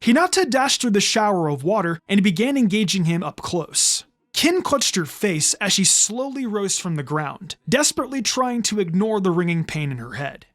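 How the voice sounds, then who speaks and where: Animated male story narrator. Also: high-pitched. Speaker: fan fiction reader.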